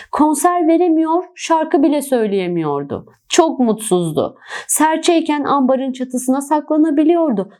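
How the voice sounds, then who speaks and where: A woman narrating a scripted children's fairy tale in an expressive storytelling manner. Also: sits high.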